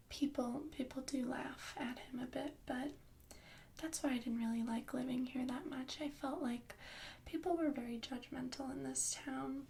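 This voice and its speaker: A young woman voicing a kind, bookish young princess in a soft ASMR whisper, hesitant and gently reassuring. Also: a little high. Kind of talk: ASMR roleplay.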